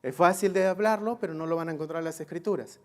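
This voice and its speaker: A man's voice: fairly high pitch, fast. A man teaching a Bible class in a didactic way, posing rhetorical questions and often checking that his listeners follow. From Israel.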